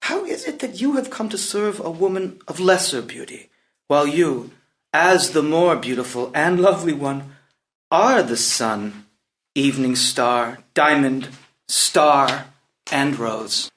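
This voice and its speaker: Man acting a high-voiced, proud young prince. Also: expressive, unhurried, fairly bright tone. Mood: enraptured, wooing. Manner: declamatory, florid, emphatic. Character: proud, impetuous young prince, defiant and passionate. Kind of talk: unrehearsed dramatic play reading.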